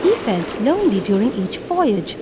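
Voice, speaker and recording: A woman narrating a children's storybook in a deliberate, expressive, scripted way. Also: medium pitch, background noise.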